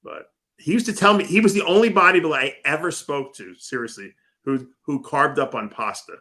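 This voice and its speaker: Brief: male talk radio host, bright, lively storyteller, casual banter. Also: a little high, a little fast. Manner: animated storyteller who acts out other people's voices.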